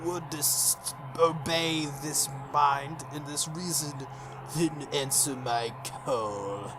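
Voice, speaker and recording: A man's voice: a little high, steady tone, background noise. A man voicing a solemn young mage from a visual novel, chanting a summoning spell in a grandiose way. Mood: solemn, commanding. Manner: slow, grandiose incantation. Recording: music behind the voice.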